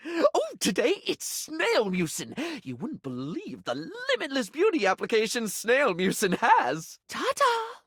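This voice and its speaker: An actor playing a flamboyant, scatterbrained, beauty-obsessed dandy in a video game, with a camp, melodramatic and affected way of talking. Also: male voice, extremely high pitch, wildly swooping pitch, unhurried, hardly any pauses, a bit bright, slightly rough, dry recording, clean studio sound, full character voice. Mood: huffy. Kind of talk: expressive video game character acting.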